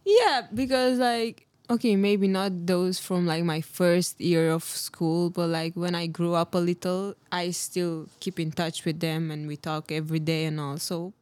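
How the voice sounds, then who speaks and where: A woman's voice: on the low side, steady tone, a little slow, hardly any pauses, a little mellow, no echo. A woman in her late teens chatting casually and talking freely, with lots of fillers. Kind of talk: casual group chat.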